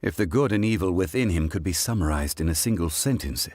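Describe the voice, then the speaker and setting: An actor playing a calm, ancient, weary male god in a video game, speaking in a measured, formal, grave way. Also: deep voice, slight background noise. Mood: grave, reflective.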